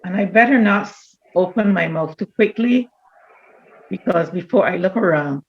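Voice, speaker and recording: An older woman, reminiscing in a measured, deliberate way as an interview guest. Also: fairly low pitch, dull audio. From Barbados. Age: older, around 70. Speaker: church elder, interview guest.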